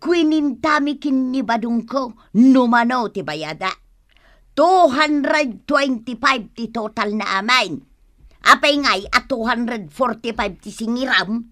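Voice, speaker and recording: A feisty woman shopper from a radio comedy drama. Her voice is very high and bright, with slow, lively, exclaiming delivery that challenges whoever she addresses. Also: no echo, studio quality. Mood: indignant, scolding. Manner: exclaiming, confrontational. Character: quarrelsome female customer and mother, indignant, scolding.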